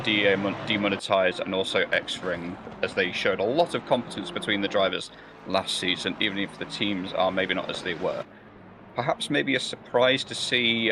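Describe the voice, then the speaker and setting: A man's voice: on the low side, noisy background. A man commentating a sim race live, rambling and hesitant, with many fillers and restarts. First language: not German or Belgian.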